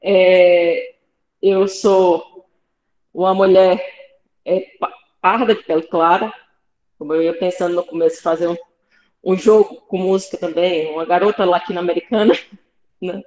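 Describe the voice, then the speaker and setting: A woman's voice: lowish voice, steady tone, measured pace, long pauses, a little mellow, reverberant, dull audio. A woman from Fortaleza with a Brazilian Portuguese accent, talking casually as a podcast guest in a thoughtful, hesitant way, speaking from home with headphones. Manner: thoughtful, hesitant, with light laughs and asides. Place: home room with plants behind. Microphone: headphones on a video call.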